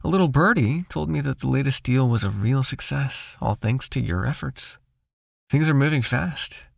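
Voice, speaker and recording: A man playing a possessive, seductive vampire master in an ASMR hypnosis roleplay, murmuring breathily and slowly in an intimate, coaxing, softly commanding way. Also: mid-range voice, animated, gravelly, dry recording, clean studio sound. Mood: smooth, coaxing.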